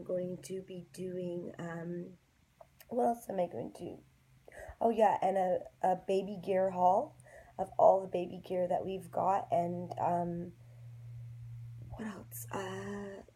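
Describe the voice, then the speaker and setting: A woman's voice: on the low side, dark tone, slight background noise, poor audio. A young woman with a congested, nasal voice, chatting to her viewers in a pregnancy vlog, confiding and full of ums. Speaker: pregnancy vlogger. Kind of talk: casual chat to camera. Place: bedroom, indoors. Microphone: phone camera.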